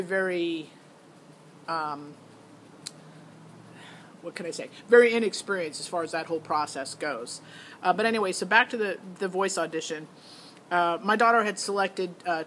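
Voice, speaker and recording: A woman's voice: lowish voice, fairly bright tone. There is light background noise on this amateur recording. A middle-aged American woman talks off the cuff in a casual vlog style. She is opinionated and digressive, circling back to her point as she goes. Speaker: parent vlogger. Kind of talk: casual unscripted monologue to camera.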